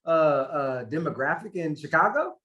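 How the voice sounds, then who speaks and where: A man co-hosting a podcast, talking in an animated, loose way with swearing and self-mocking jokes. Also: fairly high pitch, normal speed, lo-fi sound. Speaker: podcast co-host. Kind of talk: casual podcast chat.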